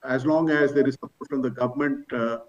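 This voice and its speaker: A man's voice: mid-range voice, steady tone, a little fast. A man, a company executive, answering analysts' questions on an earnings call, explaining things point by point. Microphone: conference call. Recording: distant, slightly dull conference call audio.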